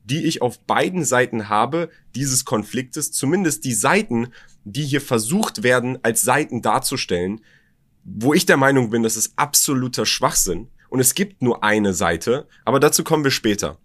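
A man's voice: medium pitch, animated, normal speed, fairly bright tone. Young man, Berlin German accent, podcast co-host, reflective, personal, restarts sentences. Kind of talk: casual podcast conversation.

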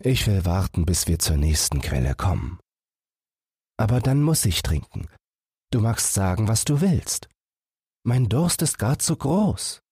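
A weary little boy from a storybook, acted by a man. His voice is lifted and breathy. He speaks earnestly and plaintively, with a determined streak. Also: on the low side, sing-song, unhurried, darkish tone, no echo, studio quality, voice pushed up, full character voice. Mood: impatient resolve.

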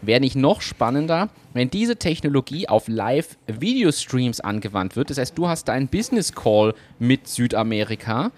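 A man's voice: mid-range voice, big pitch swings, normal speed, hardly any pauses, a little mellow. A man co-hosting a casual podcast chat, brainstorming ideas with enthusiasm. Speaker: podcast co-host.

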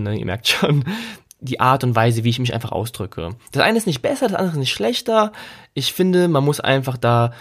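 A man with a mid-range voice talks casually and reflectively as a solo podcast host. He is conversational, leaning on key words and repeating them for emphasis. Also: mid-range voice. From Germany.

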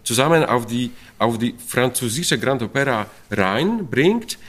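A man's voice: mid-range voice, sing-song, a little slow, fairly bright tone. A middle-aged man from Poland with a Polish accent, a Polish native speaker, talking in an interview about an opera production, searching for words with many fillers and restarts and laughing often. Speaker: opera stage director.

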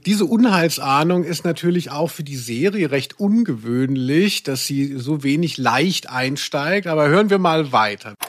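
A man's voice: a little high, expressive, moderate pace, few pauses. A man hosting a humorous podcast, talking in a wordy, mock-serious way. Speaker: podcast host.

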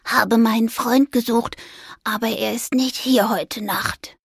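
A woman voicing a small, gentle ghost in a storybook, speaking softly, breathily and hesitantly. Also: fairly high pitch, fairly even intonation, takes it slowly, no echo, studio quality, heavily acted. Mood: sad. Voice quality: breathy, whispery. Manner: soft, downcast and hesitant.

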